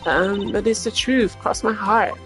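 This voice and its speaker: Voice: medium pitch, measured pace, a bit bright, close to an everyday voice. A performer voicing a ditzy, sleepy, evasive young female flight attendant in a mystery game, airy and casual with feigned innocence. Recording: game music behind the voice.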